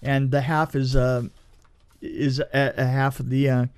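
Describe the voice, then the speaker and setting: A man co-hosting a podcast, chatting casually and telling anecdotes in an animated way, often repeating himself for emphasis. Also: medium pitch, unhurried, smooth. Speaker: podcast co-host and film production designer. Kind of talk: casual movie review chat and anecdotes.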